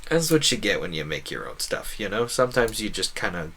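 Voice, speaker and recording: A man's voice: on the low side, very animated, fairly quick, pushed and bright. A man giving casual gameplay commentary as a streamer, thinking aloud in a wordy, meandering way. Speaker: game streamer.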